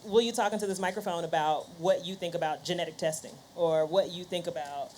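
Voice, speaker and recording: A woman's voice: on the low side, fairly quick, a little mellow, a little hiss or hum. A woman podcast host speaking conversationally on a panel, voicing little quotes as she explains.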